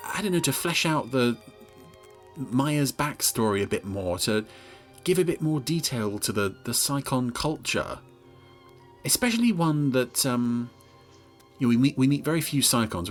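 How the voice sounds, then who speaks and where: A man hosting a podcast, giving wry, hesitant and expressive running commentary on a television episode. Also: medium pitch, measured pace, soft background music. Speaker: podcast host.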